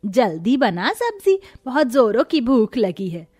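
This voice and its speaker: A woman's voice: fairly high pitch, big pitch swings, measured pace, a little mellow, husky, full character voice. A woman voicing a greedy, fawning middle-aged mother-in-law in a cartoon moral story, with a dramatic, fussing delivery. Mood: bossy.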